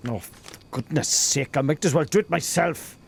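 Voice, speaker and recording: A man playing a practical, exasperated police sidekick in a comic audio drama, speaking haltingly with stammers. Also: a little high, creaky, a little hiss or hum. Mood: impatient.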